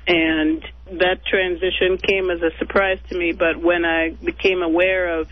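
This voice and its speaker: A woman from Trinidad, a guest activist, calmly introducing herself in a radio interview over the phone. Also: fairly low pitch, no room sound. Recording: tinny phone line.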